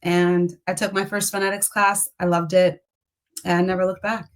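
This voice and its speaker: A woman's voice: fairly low pitch, flat delivery, moderate pace. A woman in her forties whose first language is Spanish, a speech-language pathologist telling her story candidly with frequent "um" and "you know". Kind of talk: conversational interview.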